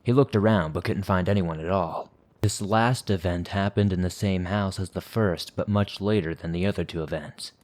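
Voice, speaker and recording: Low-voiced man narrating horror stories. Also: normal speed, darkish tone. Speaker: horror podcast host. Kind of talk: scripted horror story narration.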